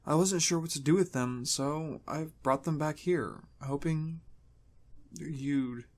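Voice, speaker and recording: A man's voice: on the high side, slow. Man voicing an earnest young pony, lightish voice. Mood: apologetic.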